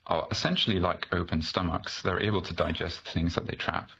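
Male botanist guest from Malaysia, deep voice, articulate and informative, dull lo-fi connection. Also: fairly even intonation, brisk, gravelly, pushed and bright. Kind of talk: conversational explanation in an interview. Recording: dull, noisy remote guest line.

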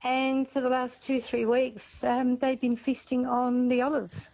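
High-voiced woman on a dull phone line. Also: completely flat delivery, unhurried, creaky, a little mellow. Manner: friendly, chatty. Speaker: caller.

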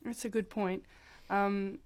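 A woman's voice: medium pitch, level tone, slow, crowd noise. A young woman singer, a guest who answers hesitantly and thoughtfully.

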